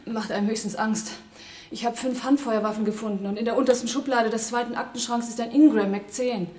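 Radio play role: actress as sharp, businesslike woman, dryly observant, higher voice, clearly echoey room. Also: steady tone, brisk, lightly acted. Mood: dry, alert. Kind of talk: German radio drama acting.

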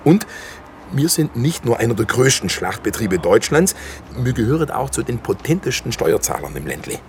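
An actor playing an evasive, officious slaughterhouse manager in a naturalistic radio drama, talking in formal, defensive corporate phrases. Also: male voice, medium pitch, big pitch swings, moderate pace, a little hiss or hum, lightly acted. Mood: confiding, uneasy.

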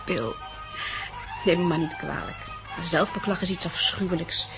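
An actress playing an affectionate, emotional young woman enduring hard times, expressive and earnest, in a 1960s radio drama. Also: on the low side, normal speed, music in the background, natural voice. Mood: rueful, affectionate.

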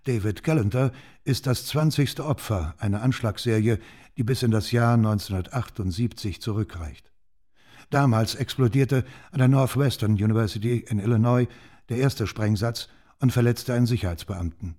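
Mellow male documentary narrator. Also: mid-range voice, normal speed. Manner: sober, matter-of-fact. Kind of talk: scripted factual narration.

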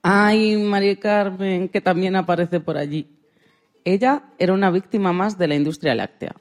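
A woman presents on radio as a storyteller. Her pitch is in the middle of the female range, with faint music running behind her narration. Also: fairly even intonation, moderate pace, a little mellow.

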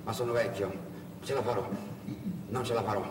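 A man playing a weary, worried old man in a stage drama, speaking quietly and hesitantly. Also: lowish voice, fairly even intonation, a little fast, voice pushed down, lightly acted. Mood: weary, resigned. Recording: echoey hall, distant mic, background noise.

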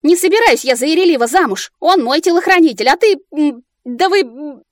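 A woman voicing a sharp-tongued, bossy young heroine in a fantasy audiobook, exclamatory and theatrical. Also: medium pitch, sing-song, normal speed, dry recording, clean studio sound. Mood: angry. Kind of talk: audiobook character acting.

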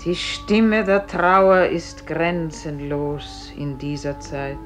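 An actress playing a solemn spirit who guides a dying soul, speaking in measured, incantatory phrases in a poetic radio play. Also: female voice, deep voice, fairly even intonation, soft background music. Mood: solemn, mysterious.

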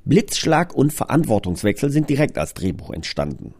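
A man's voice: mid-range voice, big pitch swings, normal speed, creaky, a little mellow, no room sound. A man presenting his own audio drama in a scripted but conversational trailer, explaining things earnestly.

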